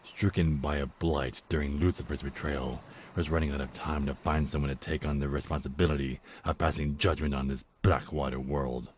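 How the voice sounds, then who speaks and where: An actor playing a cruel, contemptuous Archangel of Death in an audio drama, with a mocking, theatrical delivery. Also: male voice, extremely low pitch, steady tone, normal speed, hardly any pauses, slight background noise. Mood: bitter disdain. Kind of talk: dramatic villain monologue in audio roleplay.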